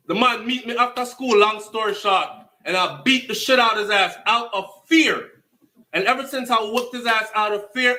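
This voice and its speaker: A Jamaican man with a very high, sharp, bright voice. He tells his own story with emphasis. He slips into patois and swears freely as he goes. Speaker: storyteller recounting own life. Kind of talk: animated personal storytelling to camera.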